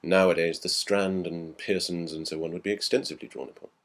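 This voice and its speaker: A man reading the part of a reflective gentleman recalling his school days in a storybook, musing and conversational. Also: low-pitched, steady tone, a little fast, lightly acted. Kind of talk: storybook character dialogue.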